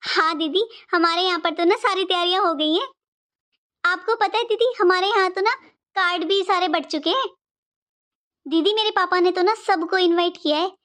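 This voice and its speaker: A woman's voice: very high-pitched, moderate pace, fairly bright tone. A woman voicing a devoted, respectful young sister-in-law in a cartoon family drama, speaking politely and emphatically with repeated phrases. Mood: eager, reassuring.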